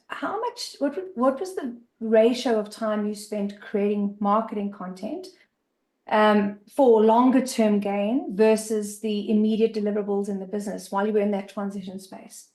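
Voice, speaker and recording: Croaky-voiced woman asking a question. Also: medium pitch, a little mellow. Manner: apologetic, hesitant questioner. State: croaky, hoarse voice. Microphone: video call. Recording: echoey room on a video call.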